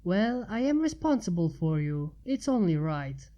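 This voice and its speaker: A woman's voice: on the low side, sing-song, measured pace, warm tone, dull audio. A woman voicing a caring, easily embarrassed young female pony in a storybook reading, with a hesitant, stammering manner. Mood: gently firm.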